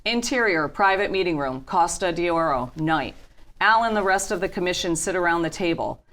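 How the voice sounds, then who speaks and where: A woman's voice: fairly low pitch, animated, moderate pace, fairly bright tone. A woman reading out scene headings and stage directions as the narrator of a script table reading.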